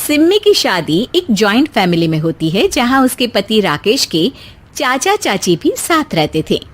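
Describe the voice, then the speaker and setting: A woman's voice: fairly high pitch, sing-song, a little slow. A woman giving scripted narration as the narrator of a family story.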